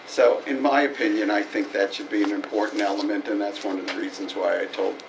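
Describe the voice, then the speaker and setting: A man on a committee offers his views at a public meeting, deliberate and firm. His voice sits low and reaches a distant mic. Steady noise runs underneath. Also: gravelly. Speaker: committee member.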